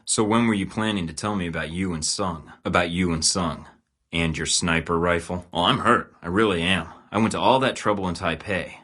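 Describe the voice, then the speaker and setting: A man's voice: deep voice, pushed and bright, breathy edge, full character voice. An actor playing a male secret agent in a video game, speaking dry, clipped, matter-of-fact lines.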